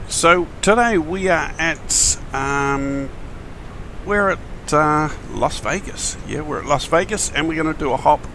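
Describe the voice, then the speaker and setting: Croaky New man streaming flight sim. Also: mid-range voice, a bit bright, slight background noise. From New Zealand. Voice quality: gravelly. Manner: chatty, friendly, many fillers and restarts. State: croaky morning voice. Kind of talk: casual live-stream chat.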